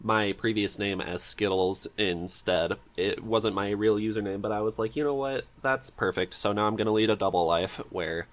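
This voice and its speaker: A man with a fairly low-pitched voice hosts a casual podcast interview. He talks informally and conversationally with his guest. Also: normal speed, hardly any pauses.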